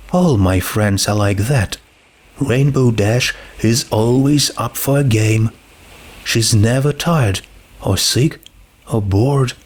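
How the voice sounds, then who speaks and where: A man's voice: mid-range voice, a little mellow, breathy edge. A man voicing an earnest, troubled teenage girl with a hesitant, searching manner in a story reading. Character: troubled, earnest teenage girl doubting her world is real. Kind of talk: fan fiction character reading.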